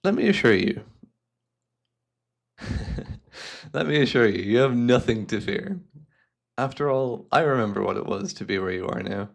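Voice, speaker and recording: A man's voice: medium pitch, lively intonation, gravelly. A man playing a courteous, devious hypnotist who speaks in a formal, soothing, theatrical way, as in an ASMR roleplay. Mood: reassuring. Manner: suave, formal, unhurried, theatrical. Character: devious, courteous male hypnotist guiding a client.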